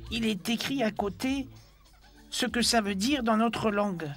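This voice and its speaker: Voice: medium pitch, measured pace, a bit bright, faint music behind. An actor voicing a grumpy, absent-minded old grandfather animal in a French cartoon dub, grumbling and musing hesitantly. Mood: intrigued, explaining. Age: older.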